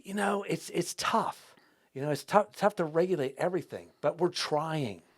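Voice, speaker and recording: A man's voice: no echo, clean studio sound. A man hosting an interview show, talking casually, restarting often and repeating words. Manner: halting, repeats words as he restarts, lively rises in pitch. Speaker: host.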